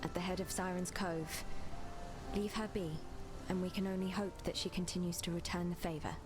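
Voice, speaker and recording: A woman's voice: on the low side, flat delivery, moderate pace, lightly acted. An actress voicing a guarded, solemn fantasy camp dweller in a video game, with music and rain behind her. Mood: solemn warning. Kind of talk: video game character dialogue.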